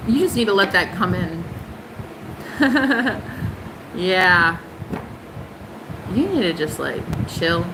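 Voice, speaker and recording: A young woman hosting a casual tarot livestream, sassy and emphatic, jumping high in pitch for punchlines. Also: expressive, slow, pauses often, noisy background.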